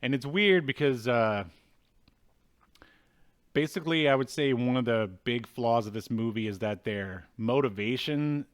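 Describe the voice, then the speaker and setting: Man casually recapping a film, wry and halting. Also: medium pitch, clean tone. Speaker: co-host of a movie review show. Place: indoors at home, video call.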